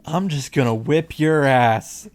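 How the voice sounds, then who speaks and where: A man's voice: on the high side, lively intonation, slow pace. A man giving blunt, mocking, sweary reaction commentary on a visual novel. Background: game music.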